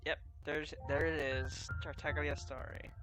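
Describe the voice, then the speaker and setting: Casual male gamer over loud music. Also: on the high side, flat delivery, unhurried. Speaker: gaming streamer. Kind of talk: casual game playthrough commentary. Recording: loud game music behind the voice. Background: video game music.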